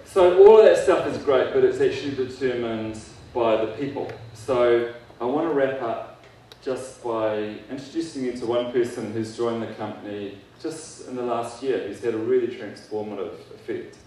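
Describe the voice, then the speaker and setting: A man's voice: medium pitch, normal speed, dark tone. A man giving a keynote speech on stage with a handheld mic, casual and self-deprecating. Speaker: keynote speaker, company founder. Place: large event hall on stage. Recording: echoey hall, distant mic, faint noise.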